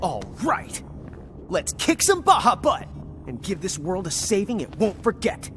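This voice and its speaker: A man's voice: high-pitched, sing-song, a little slow, fairly bright tone, slight background noise, heavily acted. A young man playing a brash, goofy teenage boy hero in a video game, slangy and exaggerated. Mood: determined. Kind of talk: animated video game voice acting. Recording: music behind the voice.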